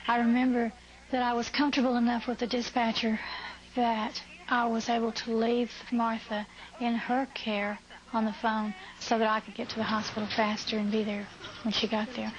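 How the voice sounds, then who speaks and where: A woman's voice: a little high, flat delivery, moderate pace, background noise. An American woman recounting events in a documentary interview. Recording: dull audio under loud music.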